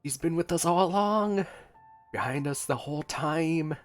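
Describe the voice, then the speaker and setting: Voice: mid-range voice, normal speed. A gameplay streamer giving casual reaction commentary, with game music behind.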